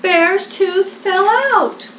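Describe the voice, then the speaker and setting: An older woman reading a picture book aloud to small children, expressive and deliberate. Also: extremely high pitch. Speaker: storybook reader, host. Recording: echoey room, distant mic, faint noise.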